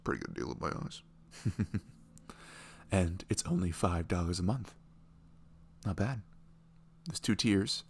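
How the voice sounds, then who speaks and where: A man's voice: deep voice, lively intonation, a little slow, creaky, long pauses, breathy edge. A man chatting casually through a rambling promo, amused and self-deprecating about himself. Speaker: audio creator and host.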